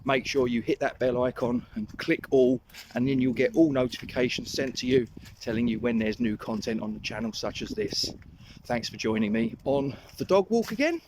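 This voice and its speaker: A man's voice: mid-range voice, lively intonation, moderate pace, noisy background. A man with a London accent hosting a fan channel, talking in a rambling, self-correcting way while out walking his dog. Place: outdoors on a dog walk.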